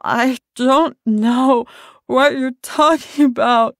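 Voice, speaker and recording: A woman's voice: high-pitched, slow pace, fairly bright tone, no echo, clean studio sound, voice pushed up, full character voice. A woman voicing a terrified mother who pleads and weeps, desperate for her husband and children. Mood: terrified pleading.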